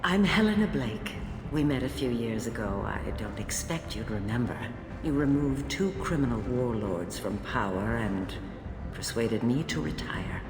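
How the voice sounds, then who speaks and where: Composed reformed crime boss, echoing hall. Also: female voice, extremely low pitch, expressive, heavily acted. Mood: polite surprise. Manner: measured, formal, articulate. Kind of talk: video game dialogue acting. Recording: roomy long reverb, clear background noise.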